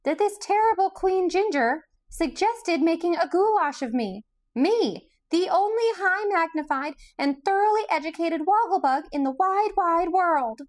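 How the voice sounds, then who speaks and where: Pompous bug scholar, shrill and fussy; woman reader voicing him. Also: lively intonation, a little slow, hardly any pauses. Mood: distressed. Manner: pompous, self-important. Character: pompous educated male insect, fussy and dramatic.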